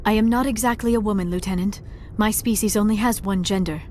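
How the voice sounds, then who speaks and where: A woman playing a gentle, earnest young alien scientist in a video game, speaking formally and precisely. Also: mid-range voice, fairly even intonation, quick pace, slight background noise, lightly acted. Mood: awkward, earnest. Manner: formal, precise speech without contractions. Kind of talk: video game dialogue acting.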